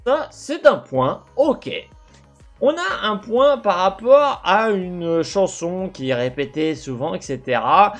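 Young male gaming host, lively intonation, halting casual commentary over faint music. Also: high-pitched. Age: young adult. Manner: animated, halting, asks himself questions. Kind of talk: casual speculative commentary to viewers. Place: indoor gaming setup.

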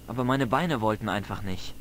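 A man's voice: fairly low pitch, a little fast, noisy background, lightly acted. A man voicing a cheeky, defiant teenage village boy in a video game, boyish, slangy and cocky, with a flair for dramatic tall tales. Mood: spooky, dramatic.

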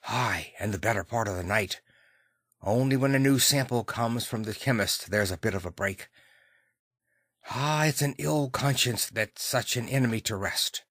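A man's voice: mid-range voice, moderate pace, a bit bright, dry recording, studio quality, close to an everyday voice. A man voicing an anxious, superstitious Victorian butler in an audiobook, hesitant and respectful. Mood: anxious, hushed. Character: anxious, deferential Victorian male butler, plain-spoken and superstitious.

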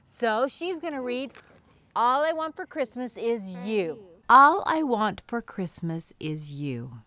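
A middle-aged woman hosting storytime, reading aloud to young children with expressive, drawn-out emphasis. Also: on the high side.

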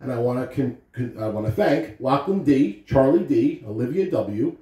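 A man's voice: mid-range voice, expressive, normal speed, dark tone. An American man in his thirties giving morning announcements and reading a storybook aloud to children. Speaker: school principal or staff announcer and story reader. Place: carpeted living room at home. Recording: roomy, distant phone camera recording.

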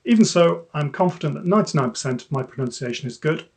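A British man in his fifties, an English teacher, reciting a poem with careful, deliberate enunciation. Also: a little high, animated, darkish tone, husky.

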